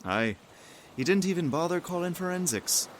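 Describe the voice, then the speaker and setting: An actor playing a teasing, cynical police colleague in an audio drama, casual and wry. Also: male voice, high voice, expressive, moderate pace, slight background noise.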